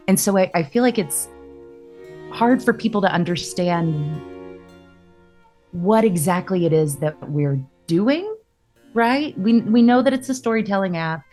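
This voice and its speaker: Low-voiced woman hosting a podcast. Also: darkish tone. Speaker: podcast host. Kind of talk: conversational interview talk.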